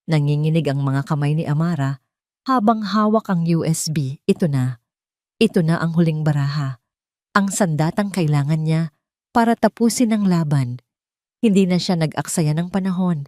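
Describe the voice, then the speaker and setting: A woman's voice: sits low, moderate pace, a little mellow, no echo, clean studio sound. A woman narrating a scripted dramatic story.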